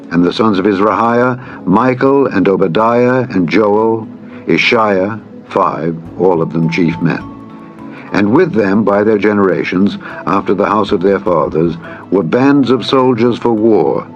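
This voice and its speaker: A man narrating an audio Bible, reading solemnly in a measured, formal, declamatory way. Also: on the low side, highs cut off. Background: background music.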